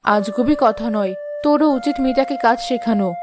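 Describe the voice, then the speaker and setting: A woman playing a gentle, principled village mother in a cartoon moral story, speaking softly and earnestly. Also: fairly high pitch, a little fast, music in the background, close to an everyday voice. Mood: patient, firm.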